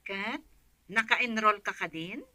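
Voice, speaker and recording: A woman's voice: medium pitch, slow pace, sharp tone, no room sound. An actress playing an anxious, affectionate mother on a call from abroad in an Ilocano radio drama. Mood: caring, relieved.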